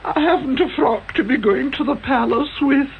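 An actress playing a fretful, devoted housekeeper with an English accent, homely and sentimental, in a 1980s radio drama. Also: female voice, high voice, normal speed, vocal fry. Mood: tearful fretting. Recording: muffled old radio recording, faint hiss.

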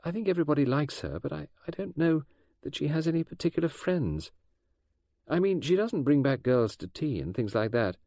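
A gentle, vague middle-aged mother, voiced by a man in a lightly raised tone. She talks softly and hesitates, trailing off. Dry, close sound. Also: fairly high pitch, expressive, brisk, dry recording, voice pushed up.

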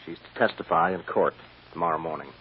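An actor playing a firm, shrewd defense lawyer with a blunt, emphatic manner in an old-time radio drama. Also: male voice, sits low, fast talker, lightly acted. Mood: grave. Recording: muffled, hissy old radio recording.

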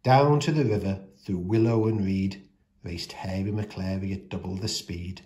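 Man, fairly deep voice, bedtime storyteller, children's rhyming read-aloud, expressive and deliberate.

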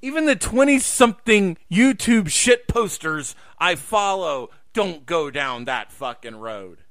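A man's voice: sits high, lively intonation, measured pace, fairly bright tone. A man hosting a radio show, chatting casually with crude, sweary, mock-pleading banter. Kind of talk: casual chat.

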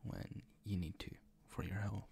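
Guy doing asmr boyfriend, low half whispered voice, super fry heavy, soft n coaxing, sweet but bossy. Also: level tone, slight background noise. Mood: gently firm. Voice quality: breathy whisper with gravelly fry. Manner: soft, coaxing, slow and reassuring. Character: doting, gently dominant, teasing boyfriend comforting his partner. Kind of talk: intimate ASMR boyfriend roleplay, improvised.

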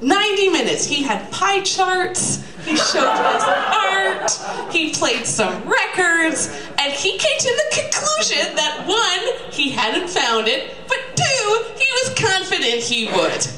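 A woman's voice: extremely high pitch, big pitch swings, takes it slowly, few pauses, very noisy. A young woman telling a comic monologue to a live audience, in a sardonic, emphatic, casual manner. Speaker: comedic storyteller at a live reading. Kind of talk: stand-up style comic monologue. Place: small live venue, bistro. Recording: echoey venue with audience, distant mic. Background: audience crowd.

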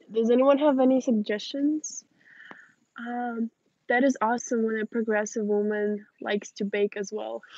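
A young woman in her late teens, a native Russian speaker, talks in a fairly high voice. She chats informally as a livestream host fielding questions. The sound is dry and slightly dull, captured outdoors at arm's length. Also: a little mellow, dry recording. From Moldova. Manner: informal, chatty. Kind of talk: casual chat, reading and answering viewer questions. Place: outdoors in a backyard garden. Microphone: phone or camera at arm's length.

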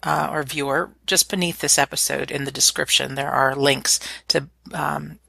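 A woman's voice: sits low, flat delivery, moderate pace, vocal fry, bright, forceful tone, husky, dry recording. A woman hosting a radio interview, enthusiastic and full of praise for her guest. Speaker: radio show host.

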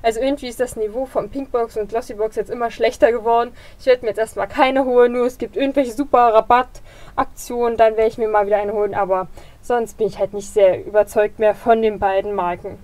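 A slightly hoarse woman giving a casual product review as a beauty vlogger. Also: a little high, fairly even intonation, fairly quick, darkish tone, dry recording. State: slightly hoarse toward the end.

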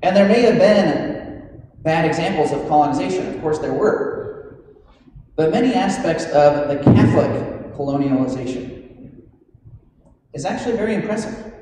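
Priest preaching in a very echoey church. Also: male voice, fairly high pitch, lively intonation, normal speed, long pauses. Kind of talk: homily. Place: large stone church, indoors. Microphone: gooseneck pulpit microphone. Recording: very echoey church hall, noisy, distant.

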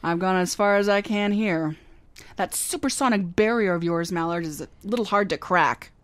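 A woman's voice: mid-range voice, moderate pace, lightly acted. A woman playing a breezy, flirtatious, wisecracking private eye in a radio drama recreation.

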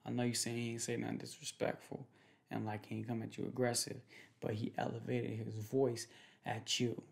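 A young man playing a protective, jealous boyfriend in an ASMR roleplay, talking in soft, slangy, repetitive, teasing phrases. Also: medium pitch, completely flat delivery, normal speed, gravelly, natural voice. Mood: defensive. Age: young adult. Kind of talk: ASMR boyfriend roleplay, improvised casual chat. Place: small room at home, indoors.

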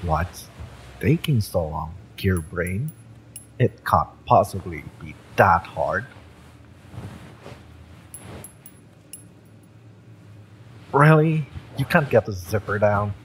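A man's voice: sits low, animated, slow pace, a little hiss or hum, low-quality recording. A man playing a cocky, fiery young cyberpunk mercenary in an audio roleplay, smug and menacing as he taunts. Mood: impatient, mocking. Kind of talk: dramatic audio roleplay, intimate villain-romance acting.

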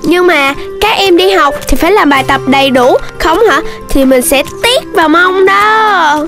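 A woman voicing a sweet but bossy little girl animal in a children's cartoon, high and girlish. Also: normal speed. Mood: eager, prim. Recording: music playing behind the voice.

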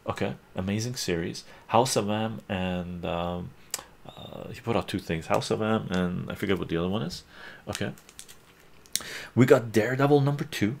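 Older male streamer, low gravelly voice, chatty auctioneer who repeats himself. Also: moderate pace, a little hiss or hum. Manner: chatty, laughs often, repeats phrases. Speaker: streamer running a live auction. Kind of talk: casual live-stream auction chat. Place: sunny room full of plants, indoors.

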